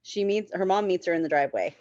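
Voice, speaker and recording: A woman's voice: lowish voice, expressive, fast, a little mellow, dry recording, lo-fi sound. A woman reading screenplay stage directions as narrator at a table read.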